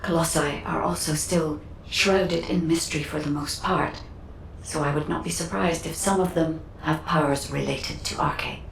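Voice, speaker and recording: Composed analytical guide, lowish voice, formal and clinical, heavy echo, video game. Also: female voice, steady tone, moderate pace, creaky, a little hiss or hum. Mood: calm explaining. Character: composed analytical female guide, serious and precise. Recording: echoing, distant, faint music behind.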